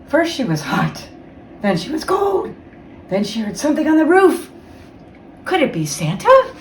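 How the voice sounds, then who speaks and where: A woman reading a children's storybook aloud as a guest reader, with expressive, dramatic storytelling. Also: high-pitched, a little slow. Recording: roomy, distant mic, faint noise.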